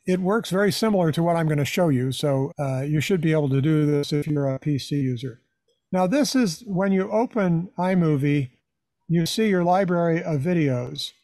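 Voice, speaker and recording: A man's voice: on the high side, lively intonation, moderate pace, mellow, no room sound. An older man giving an informal live tutorial, explaining things in a conversational way. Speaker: presenter, hobbyist teaching video editing.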